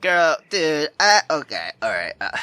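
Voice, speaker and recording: A man's voice: on the high side, very animated, a little slow, bright, forceful tone, dry recording. A man hosting a gaming video, reading narration aloud and reacting in an informal, sweary way. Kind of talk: casual let's play commentary and read-aloud narration.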